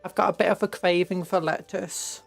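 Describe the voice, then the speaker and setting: A young British woman chatting on a livestream, her voice a little croaky. She rambles playfully, stumbling over restarts and now and then breaking into squeals. Faint music plays behind her. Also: lowish voice, steady tone, normal speed. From Britain. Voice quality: croaky from hay fever. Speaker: streamer painting miniatures. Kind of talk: casual livestream chat.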